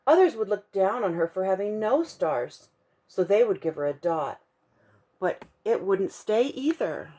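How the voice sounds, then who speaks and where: Woman, bedtime story read-aloud, lively pitch swings, expressive, slows for emphasis, mid pitch. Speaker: children's ministry storyteller.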